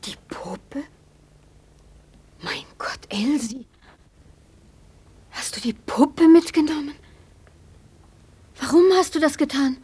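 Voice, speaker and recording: An actress in a German radio play playing an anxious, tender mother of a homeless family, with a soft, plaintive manner. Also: high-pitched, expressive, slow, long pauses, no room sound. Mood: dismayed, tender.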